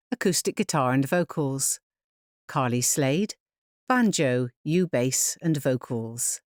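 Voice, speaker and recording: An audio describer reading scripted credits in a measured, plainly announcing manner. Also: medium pitch, dry recording, studio quality.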